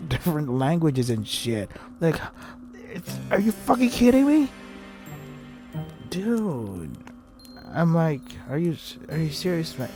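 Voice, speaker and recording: A man's voice: on the high side, a little mellow. A man reading a visual novel aloud and commenting on it in an irritated, sarcastic way with heavy swearing. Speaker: let's play host.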